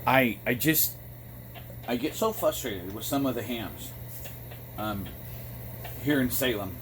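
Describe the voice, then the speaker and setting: An American man talking in a casual, rambling monologue, with a halting delivery that often pauses mid-thought. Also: medium pitch, animated. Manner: halting, frequent throat clearing between phrases. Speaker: amateur radio vlogger. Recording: noticeable background noise.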